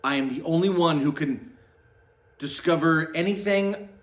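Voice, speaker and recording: A man's voice: a little high, a little slow, echoey room, voice pushed up, close to an everyday voice. A man voicing an earnest, self-important wizard investigator in a pompous, declamatory way for a storybook. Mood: grandly proclaiming.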